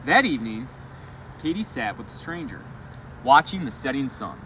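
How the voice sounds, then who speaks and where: An animated man's voice reads a children's bedtime story with big swings in pitch. He often steps out of the tale to comment or put a question to listeners. He is outdoors, with faint traffic noise behind him. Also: low-quality recording. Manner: expressive, pausing to ask and comment to listeners. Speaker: elementary school educator reading a bedtime story. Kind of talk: read-aloud storybook narration with asides. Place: outdoors on a school roof at night.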